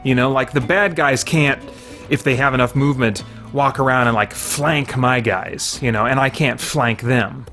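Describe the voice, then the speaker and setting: Male game reviewer, medium pitch, casual play-along commentary, conversational, mild slangy exclamations, music in the background. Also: moderate pace, pushed and bright.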